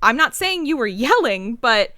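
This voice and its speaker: A woman's voice: a little high, big pitch swings, normal speed, bright, forceful tone, dry recording, studio quality. A young woman with an American accent acting an exasperated, overworked, demanding girlfriend in a naturalistic audio play, pointed and insistent.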